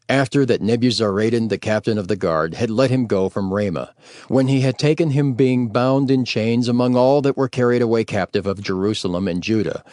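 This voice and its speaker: A man's voice: on the low side, a little mellow, no room sound. A man narrating scripture from a script as an audio Bible reader, formal and solemn.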